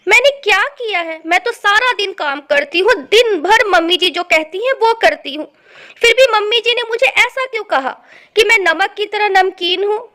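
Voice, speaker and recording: A woman plays a young daughter-in-law in a family story, in a very high, bright voice. She sounds hurt and sulky, pleading her case with plaintive emphasis and lively swings of pitch. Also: a little slow, exaggerated voice. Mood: hurt, tearful.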